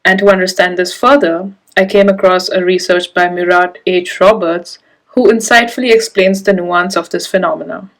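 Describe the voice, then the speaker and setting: A young adult woman with an Indian English accent, giving a measured, reflective conference talk. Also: fairly low pitch, steady tone, low-quality recording. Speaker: conference speaker.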